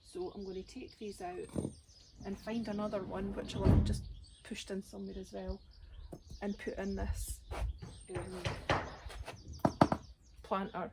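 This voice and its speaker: Woman gardening vlogger, gravelly and raspy today, chatty, thinking aloud, outdoors with heavy background noise. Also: medium pitch, level tone, a little slow, pauses often. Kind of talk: casual chat while gardening. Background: birdsong.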